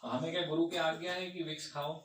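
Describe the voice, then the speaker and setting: A man's voice: on the high side, level tone, fast. Man preaching in an echoey hall. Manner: conversational, keeps asking listeners for agreement. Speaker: devotee speaker answering audience questions. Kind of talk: informal question-and-answer discourse. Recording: echoey room, far from the mic, faint hum.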